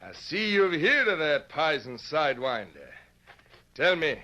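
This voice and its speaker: Blustering sharp-voiced cowboy gunslinger. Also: male voice, a little high, very animated, unhurried, full character voice. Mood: menacing bluster. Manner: loud theatrical western bluster. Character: blustering, vengeful cowboy gunslinger hunting his partner. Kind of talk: old-time radio western acting. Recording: dull, band-limited old radio recording.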